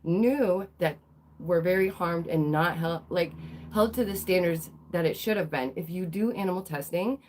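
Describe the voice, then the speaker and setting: A woman's voice: medium pitch, darkish tone. A woman hosting a live news commentary stream, her voice nasal and stuffy as she gets over a cold. She talks emphatically, repeats phrases and fires off rhetorical questions. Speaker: livestream host.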